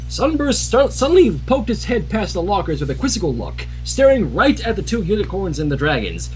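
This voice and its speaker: A man reads a fan story aloud as a livestream narrator, his pitch swinging very widely. He drops casual asides into the narration, and music plays behind him. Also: huge pitch swings, fairly quick, low-quality recording. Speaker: fan fiction reader on livestream.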